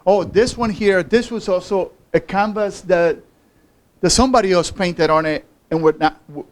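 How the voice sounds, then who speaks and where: A man from Cuba with a Cuban accent informally presenting his own artwork in a halting, hesitant way. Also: high voice. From Havana, Cuba. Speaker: artist.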